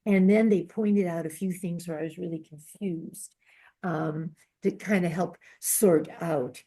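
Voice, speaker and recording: A woman's voice: on the low side, fairly even intonation, a little slow, dark tone. An older woman talking in a casual book club discussion in a rambling, reflective way.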